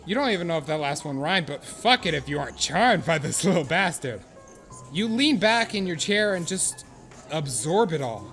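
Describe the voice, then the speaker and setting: A man playing an earnest, excitable narrator of a comic fan drama, theatrical and mock-solemn in his delivery. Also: a little high, normal speed. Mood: fond exasperation. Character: earnest, excitable male narrator of an awkward would-be friend. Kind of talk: dramatic second-person scripted narration with comic profanity. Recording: music under the voice. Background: background music.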